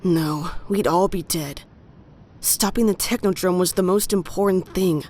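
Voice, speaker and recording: A woman's voice: on the low side, a little slow, darkish tone. A woman playing a caring young mutant fox in an audio drama, earnest and firm in her delivery. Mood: firm, insistent.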